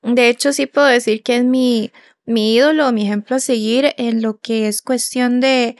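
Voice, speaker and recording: A young woman who grew up in Canada talks in a loose, chatty way, filling her pauses with soft ums and ready laughs. Her voice sits a bit higher than most women's. The sound is close and dry, with no room echo. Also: steady tone. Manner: chatty, with many fillers and quick laughs. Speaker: podcast co-host. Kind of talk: casual podcast chat.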